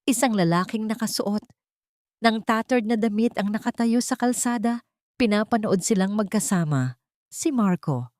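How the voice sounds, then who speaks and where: A woman narrating a scripted dramatic story in a measured storytelling manner. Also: mid-range voice, darkish tone, dry recording, clean studio sound.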